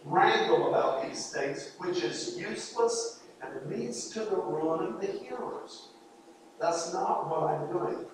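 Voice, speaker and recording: High-voiced man preaching in an echoing hall. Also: a little hiss or hum. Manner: earnest, pleading, emphatic repetition. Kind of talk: sermon. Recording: echoey hall, voice from far off.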